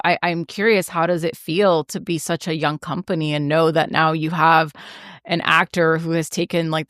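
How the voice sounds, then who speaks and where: A woman's voice: sits low, fairly bright tone, dry recording, studio quality. A woman from Brazil whose first language is Portuguese, hosting an interview in a chatty way, full of "like" and "you know". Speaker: interview host. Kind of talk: casual interview chat.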